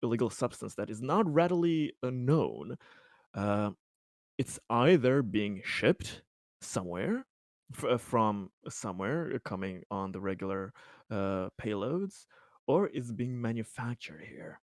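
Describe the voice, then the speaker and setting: A man playing a tabletop roleplaying game, improvising his character's actions in a halting way, searching for words. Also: medium pitch, expressive, dry recording, studio quality.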